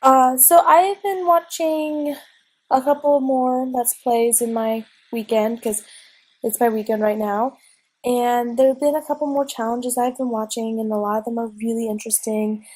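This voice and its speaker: Young woman gamer, slightly high and husky, stuffy from a cold, chatty, unscripted home recording. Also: fairly even intonation, normal speed. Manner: rambling, chatty, frequent ums and restarts. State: recovering from a cold, sniffly. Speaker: let's play gamer. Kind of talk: casual gameplay commentary. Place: at home at a desk.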